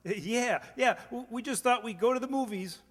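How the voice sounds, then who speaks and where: An actor playing a bashful, bumbling young suitor, stammering and deferential in old-time radio comedy style. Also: male voice, very high-pitched, normal speed, in a large hall. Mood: nervous, obliging.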